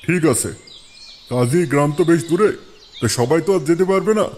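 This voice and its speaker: A man voicing a respected, calm older village elder in a moral cartoon, measured and conciliatory. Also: on the high side, natural voice. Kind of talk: Islamic moral cartoon dialogue.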